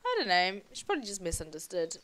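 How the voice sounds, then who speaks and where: A woman co-hosting a video game let's play, hoarse and phlegmy from a cold, with a wry, teasing manner in casual banter. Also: mid-range voice, very animated, fast, fairly bright tone. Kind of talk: casual banter while playing.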